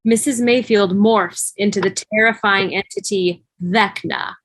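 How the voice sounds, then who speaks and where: A woman's voice: medium pitch, fairly even intonation, unhurried, no room sound. Dry-sounding woman narrating a script. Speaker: narrator reading stage directions.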